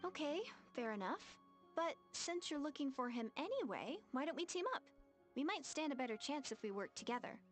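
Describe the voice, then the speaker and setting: Casting: young village believer, high voice, earnest and hesitant, game dialogue over soft music. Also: female voice, expressive, normal speed. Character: earnest, devout young village woman seeking a prophesied savior. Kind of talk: video game voice acting.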